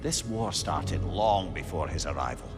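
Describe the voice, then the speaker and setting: A man's voice: deep voice, lively intonation, measured pace. An actor voicing a wise, wry older male talking head with a chatty manner in a video game. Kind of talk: video game cutscene dialogue. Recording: long reverb. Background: game music.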